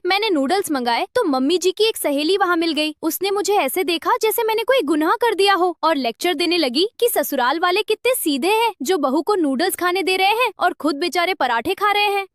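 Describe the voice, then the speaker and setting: A woman's voice: extremely high pitch, fairly bright tone, no echo, studio quality. Woman voicing a weary young daughter-in-law. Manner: weary, pleading. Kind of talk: cartoon story dubbing.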